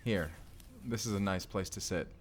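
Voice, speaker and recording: A man's voice: deep voice, moderate pace, slight background noise, close to an everyday voice. A man playing a tender, boyish husband from the future in an intimate, bashful, rambling romantic audio roleplay. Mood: playful, affectionate. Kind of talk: romantic audio roleplay acting, addressed to listener.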